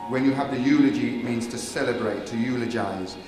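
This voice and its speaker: A man's voice: mid-range voice, a little fast. A man in his fifties leading a funeral service as pastor, telling stories and teasing the congregation with good humor. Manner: jovial storytelling, teasing the congregation. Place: large church hall with congregation. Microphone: microphone on a stand at the pulpit. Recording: echoey hall, mic from a distance, music.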